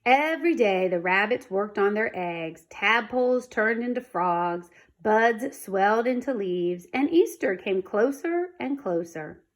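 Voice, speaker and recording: A woman reading a children's storybook aloud in an expressive, dramatic manner. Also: mid-range voice, measured pace, hardly any pauses. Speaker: storybook reader.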